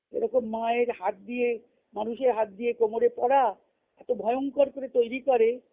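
Slow-speaking woman on a muffled call. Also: a little high. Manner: repeats and rephrases key phrases for explanation. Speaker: spiritual teacher giving a weekly discourse. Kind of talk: spiritual lecture, reading quotations and explaining them. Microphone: conference call. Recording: muffled lo-fi conference call audio.

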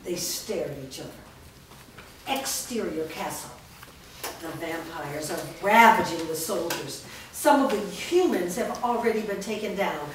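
A woman reading the stage directions aloud in a staged reading, with steady, clear narration. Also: medium pitch, slight background noise. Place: large studio room with actors in a circle. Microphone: far camera. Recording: roomy echo from far off.

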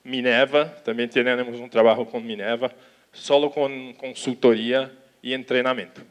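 A young man from Brazil with a Portuguese accent, giving a conference talk in halting Spanish on an auditorium stage, talking slowly with restarts. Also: medium pitch, flat delivery. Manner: slow, careful, with restarts and Portuguese words. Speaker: conference speaker. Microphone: handheld mic.

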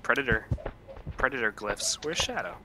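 A man's voice: mid-range voice, expressive, normal speed, background noise. A man chatting casually and joking, with some swearing, as he plays a video game with a group. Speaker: gamer in a group let's play. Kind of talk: casual chat while playing a video game.

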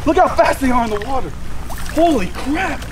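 Young man, excited vlog host, outdoors by a canal, distant camera mic, background noise. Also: very high voice, normal speed. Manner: excitable, shouting exclamations, talks to the camera. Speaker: fishing channel host.

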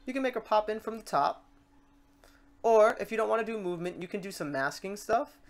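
Casual software tutorial presenter, medium pitch. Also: animated, a little fast. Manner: informal, thinking aloud.

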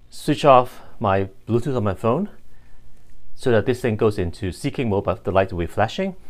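Male reviewer, lower-pitched, casual and unscripted, halting as he thinks aloud. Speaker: product reviewer. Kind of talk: casual hands-on demonstration, unscripted.

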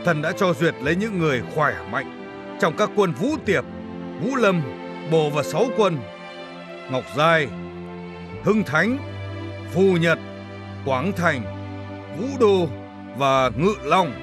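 A man playing a dutiful army general in an animated historical drama, speaking with formal deference. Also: on the high side, slow pace, long pauses, music in the background. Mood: forceful, reporting. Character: loyal, dutiful male army general reporting to emperor.

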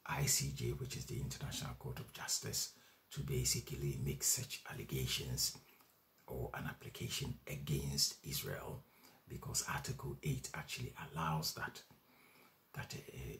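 Man in his forties with a very deep voice, legal explainer, measured delivery with fillers, recorded on a webcam in a small room at home. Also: slight background noise. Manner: measured, with frequent fillers and restarts. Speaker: legal educator. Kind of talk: explaining and reading out a legal text. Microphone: webcam at desk distance.

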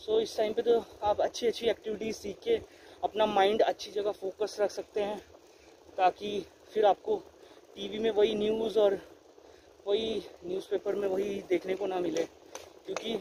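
A young man from India, cycling outdoors and earnestly giving friendly advice to his viewers, recorded on the camera mounted on his handlebars. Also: high voice. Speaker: cycling vlogger. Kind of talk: casual vlog talk to camera while riding. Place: outdoors by an empty stadium, riding a bicycle. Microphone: handlebar-mounted camera mic. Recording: outdoor camera audio with loud ambient noise.

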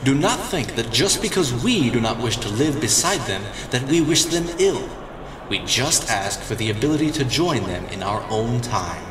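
A man's voice: mid-range voice, sing-song, normal speed, natural voice. An actor playing a solemn, pleading spokesman for the dead, grave and oratorical. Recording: echoey, distant, noisy.

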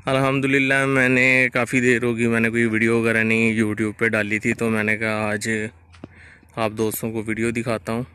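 A man's voice: medium pitch, level tone, fairly quick, bright, forceful tone, clean tone. A man with a Pakistani Punjabi accent narrating a casual vlog outdoors on a farm field and chatting with a farmer. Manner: chatty, friendly questioning. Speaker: vlogger.

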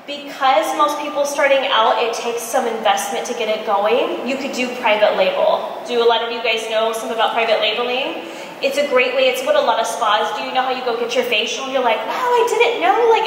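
A woman's voice: on the high side, fairly quick. American woman giving a chatty talk on stage, super echoey room, bit of background noise. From Michigan, United States. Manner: chatty, animated storytelling with acted-out quotes. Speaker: entrepreneur speaker, cosmetics brand founder. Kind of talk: informal conference presentation with anecdotes. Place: large indoor expo conference room, on stage. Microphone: handheld microphone through PA, recorded from audience.

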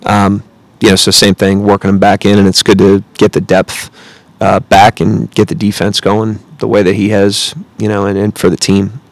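A man in his thirties, a head coach answering questions at a post-game press conference, measured and analytical with frequent fillers, speaking into a close table mic. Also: on the low side, completely flat delivery, dry recording. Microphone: table mic close in front.

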